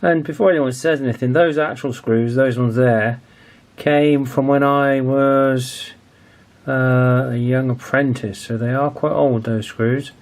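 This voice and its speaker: A man's voice: medium pitch, steady tone, unhurried, a little mellow. A man in his forties narrating a hands-on repair casually, hesitant and thinking aloud as he goes. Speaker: video host, radio restoration hobbyist.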